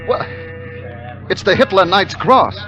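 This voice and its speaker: An actor playing an army captain telling of a war raid in a terse, dramatic 1950s radio style. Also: male voice, high-pitched, animated, slow pace. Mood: stunned. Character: army captain narrating his war raid, steady, wry. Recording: muffled 1950s radio recording, music behind.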